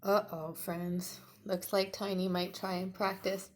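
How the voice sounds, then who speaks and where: A woman's voice: lowish voice, little pitch movement, unhurried, a little hiss or hum. A woman teacher doing an interactive read-aloud for young children, speaking encouragingly to her listeners as friends. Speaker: teacher and storyteller.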